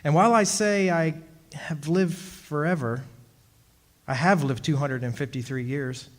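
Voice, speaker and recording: A man's voice: a little high, unhurried, lightly acted. An actor playing an uneasy immortal man, telling his story in a confiding, conversational way in a radio drama revival. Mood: wry, matter-of-fact. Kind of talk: stage revival of 1940s radio drama narration.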